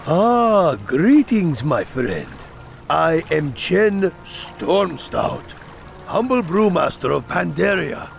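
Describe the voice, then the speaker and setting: A man's voice: a little high, big pitch swings, gravelly, faint music behind, full character voice. A man voicing a jolly, humble wandering brewmaster creature in a video game, genial and ale-loving. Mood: jovial. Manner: unhurried, genial, chuckling storyteller. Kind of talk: video game character acting.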